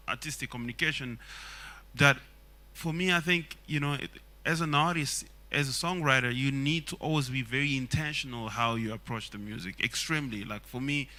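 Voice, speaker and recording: Songwriter from Congo, a man, non-native English, earnest and reflective, bright forward tone. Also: mid-range voice. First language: Swahili. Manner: earnest, reflective, many fillers. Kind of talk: casual panel talk.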